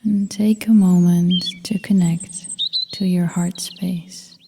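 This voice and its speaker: A woman guiding a meditation as a yoga nidra instructor, speaking in a soft, breathy half-whisper with a calm, gentle, soothing manner. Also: fairly low pitch, takes it slowly. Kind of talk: guided meditation narration. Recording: long reverb, faint noise with birdsong.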